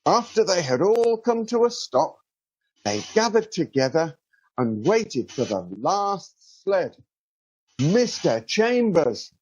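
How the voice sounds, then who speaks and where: Expressive husky man reading a children's story. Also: a little high, dull audio. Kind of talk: scripted children's storybook narration.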